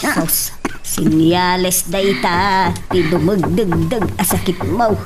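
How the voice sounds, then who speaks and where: An actress as a fussing older mother in an Ilocano audio drama. She sighs and exclaims in a drawn-out way, heard a little away from the mic. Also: lowish voice, fairly even intonation, distant mic, noisy background. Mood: worried, chiding. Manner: exclamatory, drawn-out sighing interjections. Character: fretful motherly older woman, fussing over her grown children. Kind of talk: Ilocano radio-style drama acting.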